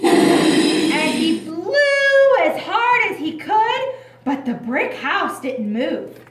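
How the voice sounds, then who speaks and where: A woman narrates a children's puppet show in a high, very lively voice. She is theatrical and unhurried, recorded in an echoey, noisy room. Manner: theatrical, drawn-out. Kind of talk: scripted children's story narration. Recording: echoey room with clear background noise.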